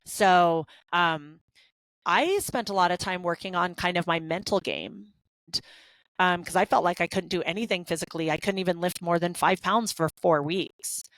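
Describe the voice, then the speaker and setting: A woman's voice: fairly low pitch, moderate pace, a bit bright, no room sound, poor audio. A woman in her thirties co-hosting a podcast, talking candidly in a casual chat with many fillers and restarts. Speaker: podcast co-host.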